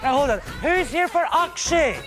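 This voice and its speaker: A woman hosting a live stage interview, excited, gushing and exclamatory. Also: sits high, normal speed. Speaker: host. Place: theatre stage before an audience. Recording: loud music and noisy crowd behind.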